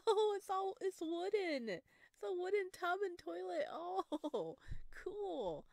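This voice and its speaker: A woman streaming a visual novel, reading narration aloud and reacting in an expressive, gushing way. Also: very high-pitched, slow pace, gravelly, dry recording. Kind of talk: game playthrough with read-aloud narration and excited reactions.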